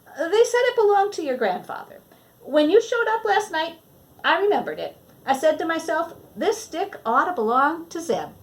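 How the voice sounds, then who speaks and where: A woman voicing a kindly, caring grandmother in a storybook, gentle and hospitable. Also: very high voice, big pitch swings, moderate pace, voice pushed up. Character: kindly, caring grandmother hosting a stranded boy.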